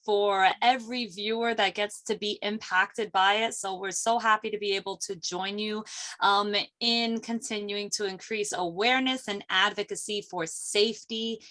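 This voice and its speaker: A woman's voice: medium pitch, fairly even intonation, moderate pace, bright, forceful tone. Woman expert on video call, emphatic, motivational, stacks her points in threes. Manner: emphatic, rhythmic lists, motivational. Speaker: guest, sonographer and ergonomics expert. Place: office, indoors.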